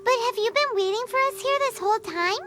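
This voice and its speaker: A woman voicing a tiny, excitable floating fairy companion who talks in a squeaky, childlike way in a video game. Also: fast, noisy background. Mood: chirpy. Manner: squeaky, childlike, refers to herself by name. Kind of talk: video game dub acting.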